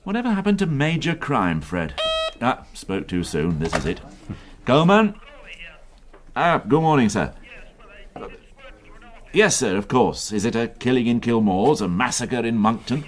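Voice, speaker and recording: An actor in a radio drama playing a sardonic, exacting middle-aged police inspector with a dry, sarcastic manner. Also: male voice, mid-range voice, big pitch swings, measured pace, long pauses. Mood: brisk, weary.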